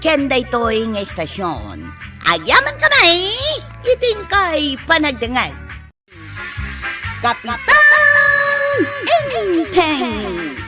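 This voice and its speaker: A woman's voice: high voice, huge pitch swings, very slow, loud music behind the voice. A woman with an Ilocano Filipino accent announcing the scripted credits of a radio drama.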